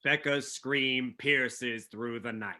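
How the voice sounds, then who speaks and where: A man's voice: mid-range voice, steady tone, takes it slowly, pushed and bright. A man reading scripted narration for a staged play reading.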